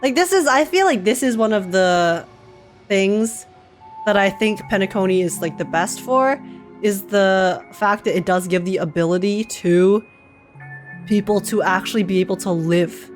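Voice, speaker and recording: A chatty woman reacts live to a video game as a streamer. Her mid-pitched voice is informal and full of slang. Soft music runs in the background. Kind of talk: casual live reaction commentary.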